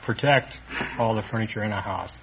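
A man's voice: lowish voice, a little fast, vocal fry. A man from Texas with an American accent, answering politely and hesitantly as a radio quiz contestant. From Texas, United States. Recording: noisy, muffled old 1950s radio recording.

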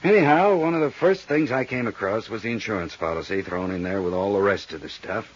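A big, easygoing American man in his late forties, an accountant in a 1950s radio drama. His voice is fairly low and husky, and he rambles in a folksy, chatty way. The sound is dull, like old radio. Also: fairly quick. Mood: chatty, explaining.

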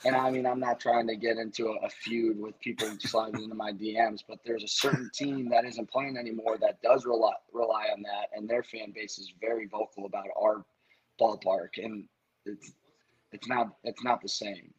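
A man's voice: on the low side, level tone, normal speed, slightly rough, poor audio. A man, a college baseball coach, talking casually in an interview, rambling a little with restarts.

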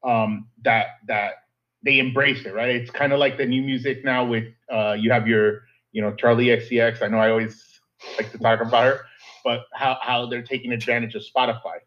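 A man in casual podcast chat, animated and joking as he talks with emphasis. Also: mid-range voice, normal speed. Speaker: podcast co-host. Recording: dull lo-fi sound.

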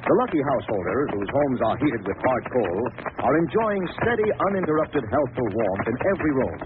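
A man's voice: mid-range voice, big pitch swings, brisk. A man doing emphatic, declamatory scripted announcing and narration in a 1940s radio style. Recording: tinny noisy old radio broadcast.

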